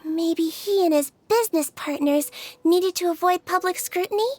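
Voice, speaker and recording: Very high-voiced gentle little nurse. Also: female voice. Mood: thoughtful. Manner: polite, measured, reasoning. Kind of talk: video game voice acting.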